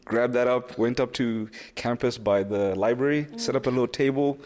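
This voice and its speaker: A man from Zimbabwe, a guest entrepreneur, telling his story in a halting, conversational way with many restarts. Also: mid-range voice, fairly even intonation, a little mellow, big-room sound.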